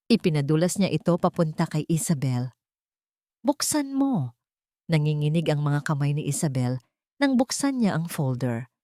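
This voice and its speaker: A woman with a low, slightly bright voice narrates a scripted romance. The delivery is dramatic storytelling, and the sound is dry with no room around it. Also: dry recording.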